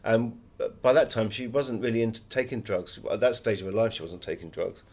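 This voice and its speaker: A man's voice: fairly low pitch, fairly quick, a little mellow. A man giving conversational, anecdotal answers as an author being interviewed.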